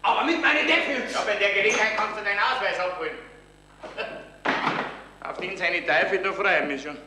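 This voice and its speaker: An actor playing a rough, sardonic, provoking man in a radio crime drama, with a Bavarian accent. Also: high-pitched, normal speed, close to an everyday voice. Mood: mocking. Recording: roomy, slightly hissy 1970s radio recording.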